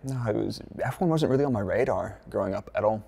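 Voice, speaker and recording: A laid-back American man from Dallas talks through interview answers with a low, gravelly voice. He keeps it chatty, full of fillers and jokey asides. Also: lively intonation, quick pace, noisy background. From United States, Dallas. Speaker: F1 content creator, former performance engineer.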